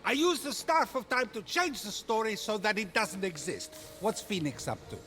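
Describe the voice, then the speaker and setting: Casting: pompous petty deity, theatrical, very high voice with big swoops, comic game. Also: male voice, lively intonation, moderate pace, over music. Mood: smug. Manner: pompous, gloating. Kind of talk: comic video game narration banter.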